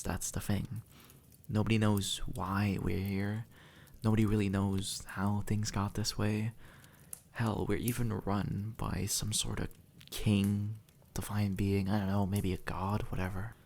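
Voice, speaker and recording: A man's voice: on the low side, gravelly, a little hiss or hum. A man playing a sardonic, impatient demon in a conversational audio roleplay, blunt and sarcastic, speaking straight to the listener. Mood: calmer, reassuring. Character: sardonic, impatient male demon, centuries dead, gruffly reassuring.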